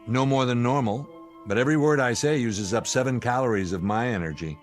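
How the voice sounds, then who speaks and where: An American actor playing an irritable, sarcastic spaceship commander in a sci-fi radio drama, wry and needling. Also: male voice, mid-range voice, a little fast, soft background music, close to an everyday voice. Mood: weary irritation.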